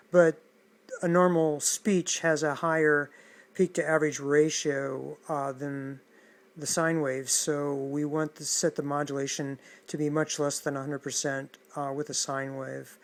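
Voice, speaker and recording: A man giving a casual hands-on technical demonstration as an electronics hobbyist, speaking in a halting, matter-of-fact way. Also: fairly high pitch.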